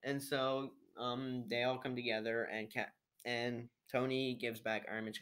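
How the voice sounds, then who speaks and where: Teenage male, smooth clear voice, mid pitch, casual vlog review, hesitant, rambling, trailing off, home webcam mic. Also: little pitch movement, a little slow, fairly bright tone. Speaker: amateur video reviewer. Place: living room at home, indoors.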